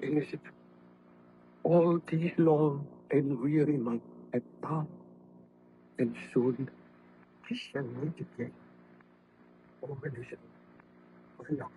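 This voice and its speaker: An actor playing a dying, weary, anguished baron, slowly declaiming in a 1930s radio drama. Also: male voice, mid-range voice, close to an everyday voice. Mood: yearning. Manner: slow, declamatory. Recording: scratchy, dull 1930s radio broadcast.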